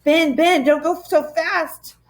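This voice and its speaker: A frightened young girl in a children's adventure story, acted by a woman reading aloud. She cries out urgently. Her voice is lifted very high. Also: steady tone, slow pace. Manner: crying out urgently.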